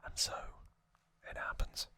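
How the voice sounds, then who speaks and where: A man hosting ASMR, recapping a story in a breathy, unvoiced whisper with a gentle, halting delivery. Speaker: ASMR host.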